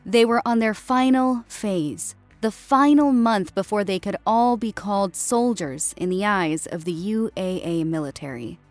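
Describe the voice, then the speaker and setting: A woman's voice: medium pitch, a little slow, hardly any pauses, dry recording, soft background music. A woman reading scripted fiction as an audiobook narrator. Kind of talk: scripted story narration with character dialogue. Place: close, dry recording with music behind.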